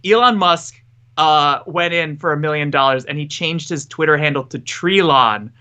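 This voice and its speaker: A man's voice: fairly high pitch, moderate pace, bright, forceful tone, clear, resonant tone. A man co-hosting a podcast in casual chat, joking and rambling, often cutting himself off, wearing headphones. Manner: joking, rambling, self-interrupting. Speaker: podcast co-host. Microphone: headphones with mic.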